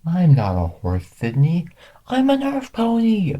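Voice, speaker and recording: A man voicing an excitable young male talking pony who knows his world, in a cartoon fan story. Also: sits high, measured pace, pitched above the performer's own voice, full character voice. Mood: amazed.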